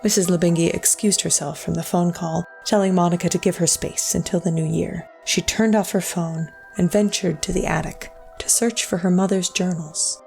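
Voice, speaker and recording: An actress playing a solemn storyteller, narrating a scripted dramatic tale in a measured, literary way. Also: female voice, fairly low pitch, steady tone, gravelly, a little mellow, soft background music. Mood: mournful. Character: solemn female storyteller of a sad ghost tale.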